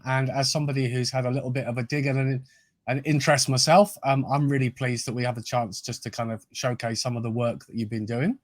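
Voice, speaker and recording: A man hosting a podcast, friendly and measured, with hesitant fillers as he asks questions. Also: mid-range voice, dry recording, clean studio sound. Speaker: podcast host. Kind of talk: podcast interview.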